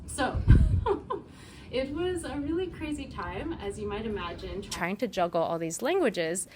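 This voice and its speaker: A woman's voice: a little high, noisy background. A woman in her thirties, born and raised in South Korea with Korean as her first language, speaking casually at a meetup and asking the audience "right?" between points. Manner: chatty, frequent "like" and "right?", laughs between points. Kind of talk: live conference talk. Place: event room before a small audience.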